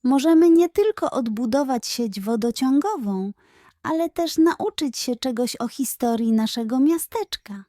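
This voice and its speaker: A woman voicing an excitable, pompous male animal mayor in a children's tale, exclaiming and proclaiming. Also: high-pitched, a little slow, no room sound, clean studio sound. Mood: amazed.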